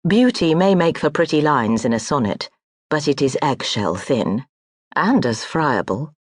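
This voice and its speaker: Measured literary audiobook narrator. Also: mid-range voice, expressive, no echo. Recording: dry close studio audiobook.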